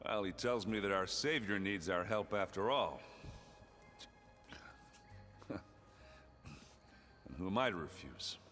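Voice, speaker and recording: Jovial battle-hardened warrior, lively swooping intonation, forceful, blustery, video game cutscene. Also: male voice, sits low, slow pace, pauses often, faint music behind, heavily acted. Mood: wry. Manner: blustery, joking, animated.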